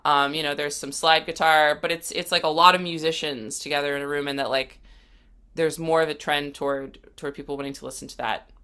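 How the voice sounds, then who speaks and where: A woman's voice: deep voice, steady tone, a little fast, sharp tone, clean tone. A woman talking casually as an interview guest, a musician speaking in an earnest, reflective way.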